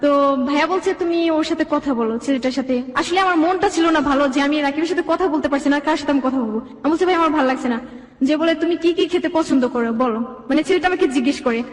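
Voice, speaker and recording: A woman's voice: high-pitched, quick pace. A young woman telling her life story conversationally in long run-on detail, quoting dialogue, in a reverberant recording. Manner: run-on, detailed retelling with reported speech. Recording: echoey, reverberant sound.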